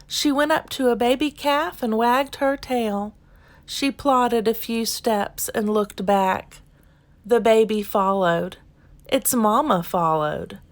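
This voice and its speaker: A woman reading a children's storybook aloud in an expressive, deliberate storytelling manner. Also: a little high. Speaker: children's book reader. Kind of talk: expressive scripted storybook narration.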